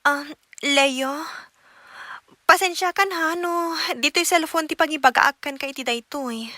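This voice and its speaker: A woman's voice: sits high, a little slow, bright, forceful tone, dry recording, studio quality. A woman with an Ilocano accent acting a hesitant, calculating young woman in a radio drama. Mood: apologetic, firm.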